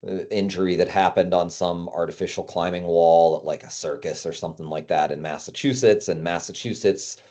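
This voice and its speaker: Male guest consultant, very low and mellow voice, conversational explainer, slightly dull recording. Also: fairly even intonation, fairly quick, few pauses. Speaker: guest consultant on climbing gym business. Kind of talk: casual business discussion.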